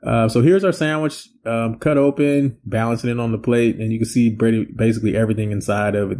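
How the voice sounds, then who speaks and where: Low-voiced man, relaxed rambling cooking host. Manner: relaxed, rambling, filler-heavy explaining. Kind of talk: casual cooking voice-over and taste test. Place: indoor home set with plain backdrop.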